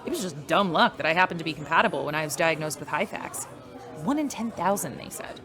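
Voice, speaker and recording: An actress playing a friendly, easygoing uploaded human mind, speaking in a casual, chatty way in a sci-fi radio drama. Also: female voice, low-pitched, expressive, fast, over music, crowd noise. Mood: friendly, candid. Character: friendly, easygoing female uploaded mind running a general store.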